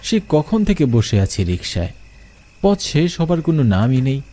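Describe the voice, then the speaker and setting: A young man narrating a first-person horror story, expressive and asking questions as he goes. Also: medium pitch, moderate pace.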